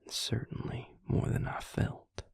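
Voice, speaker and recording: A man playing a tender, regretful male vampire in an intimate audio roleplay, confiding haltingly in a soft, breathy, gravelly whisper. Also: no echo, clean studio sound, lightly acted. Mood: rueful, candid.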